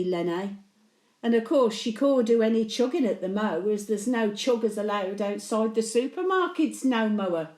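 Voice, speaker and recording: A woman's voice: a little high, a little mellow, reverberant. A woman playing a blunt, antisocial elderly Birmingham widow, telling chatty stories in local dialect as a dramatic monologue. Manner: blunt, grumbling, colloquial dialect. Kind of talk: dramatic monologue, chatty storytelling to late husband.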